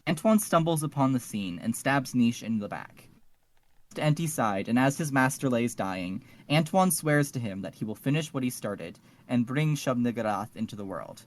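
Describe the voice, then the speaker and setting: A man's voice: medium pitch, lively intonation, moderate pace. A man co-hosting a podcast, casually discussing and summarizing fiction. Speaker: podcast co-host.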